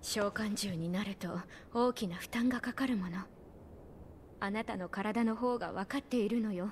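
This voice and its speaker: An actress playing a gentle but resolute young woman in a Japanese video game, speaking softly and earnestly. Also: mid-range voice, a little hiss or hum, lightly acted. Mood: gentle reassurance. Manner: soft, earnest, measured.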